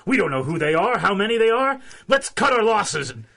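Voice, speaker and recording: An actor playing a nervous, jittery swindler's sidekick in an urgent radio crime drama style. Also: male voice, high-pitched, sing-song, brisk, gravelly, pushed and bright, heavily acted. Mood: anxious.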